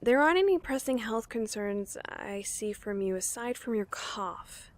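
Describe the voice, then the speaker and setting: A woman's voice: fairly high pitch, animated, a little slow. Busy, considerate young woman medic, played by an actress. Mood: matter-of-fact, reassuring. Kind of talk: amateur audio drama acting.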